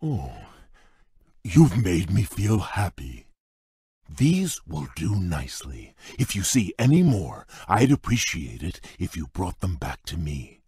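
An actor playing a large, shy, polite beast prince in a video game, speaking in a courteous, formal, earnest way with a husky voice. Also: male voice, sing-song, takes it slowly, dark tone, no echo, clean studio sound, heavily acted. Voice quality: deep husky voice. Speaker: game character. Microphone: dry close studio mic.

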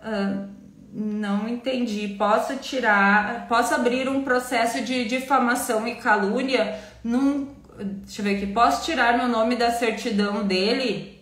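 A woman, hoarse from a cold, talking straight to her webcam from a home office. Her voice sits a little high and carries some room echo. She explains things in a relaxed, chatty way and keeps closing her points with a quick tag question. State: has a cold, nearly voiceless. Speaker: family lawyer answering viewer questions. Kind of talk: casual live-stream Q&A. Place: home office with bookshelves, indoors.

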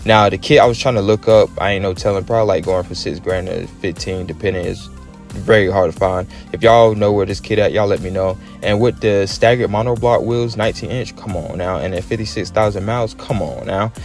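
A man's voice: on the low side, brisk. An American man hosting a car channel, giving enthusiastic, slangy, casual commentary as a voice-over. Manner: enthusiastic, informal slangy talk. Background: background music.

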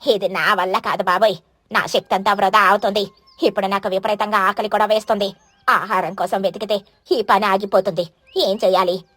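A woman's voice: medium pitch, fairly even intonation, brisk, a bit bright, no room sound, voice pushed down, close to an everyday voice. A woman voicing a lazy, stingy mother crow in a children's story, with a complaining, wheedling manner. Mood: weary complaining.

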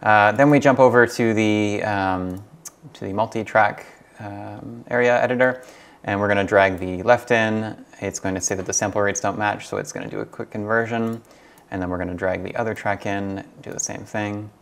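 A man's voice: lowish voice, steady tone, normal speed, fairly bright tone. A young man giving a casual hands-on tutorial, thinking aloud in a slightly hesitant way. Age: young adult. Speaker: hobbyist host demonstrating tape transfer. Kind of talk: casual tutorial vlog talk. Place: small furnished rooms at home, indoors.

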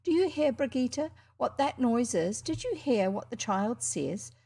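A woman's voice: fairly high pitch, animated, unhurried, gravelly, mellow, breathy edge, voice pushed up, exaggerated voice. Woman doing a trembling blind old grandmother. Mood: overjoyed. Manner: trembling, exclaiming.

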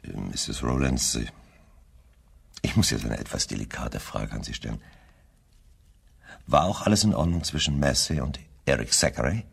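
An actor playing a probing, deliberate police investigator in a German crime radio play, questioning in a measured, formal way with a gravelly voice. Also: male voice, very deep voice, heavily acted. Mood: probing, tactful.

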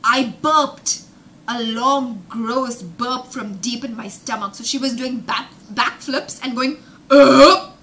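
A young woman telling a story as a children's teacher, dramatic and emphatic, pausing to explain words with synonyms. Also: fairly high pitch, sharp tone, a little hiss or hum. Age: young adult. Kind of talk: animated storytelling lesson with explanations. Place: indoor room with glass-fronted bookshelves.

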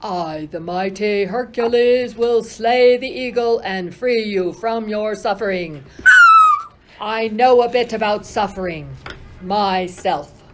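A woman's voice: mid-range voice, takes it slowly, natural voice. A woman playing a mighty, solemn male hero, declaiming in a radio play. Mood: bold, proud. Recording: faintly noisy amateur recording.

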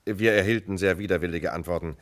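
Low-pitched, matter-of-fact police lieutenant. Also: male voice, quick pace, fairly bright tone. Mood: explanatory. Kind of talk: German radio crime drama acting.

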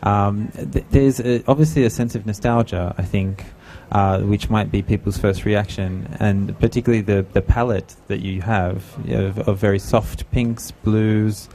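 A man's voice: lowish voice, fairly even intonation, moderate pace, hardly any pauses, warm tone, slight background noise. A middle-aged man hosting an interview at an art fair booth, speaking on a handheld mic and sometimes searching for words. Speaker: talk host. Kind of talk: interview host.

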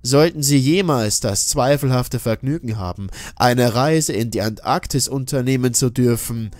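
A man narrating a scripted horror audiobook in a solemn, measured, ominous manner. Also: medium pitch, a little mellow, no room sound.

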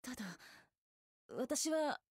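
An actress playing a composed, princely young woman idol in a Japanese game, speaking politely and with gentle reassurance. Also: high-pitched, very animated, drawn-out words, breathy edge, dry recording, studio quality, full character voice. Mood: troubled, apologetic. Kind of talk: Japanese mobile game visual novel acting.

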